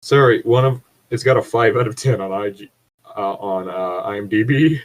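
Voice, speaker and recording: A man with a fairly low voice co-hosts a casual podcast. He reads internet horror stories aloud and chats around them, drifting off track easily and cursing freely. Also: normal speed. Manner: easily sidetracked, freely profane. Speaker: podcast co-host.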